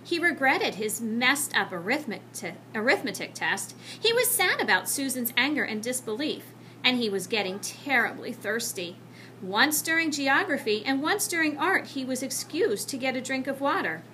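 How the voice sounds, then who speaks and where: A woman reading a children's book aloud as an expressive narrator. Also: high voice, moderate pace, a little hiss or hum. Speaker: storybook reader.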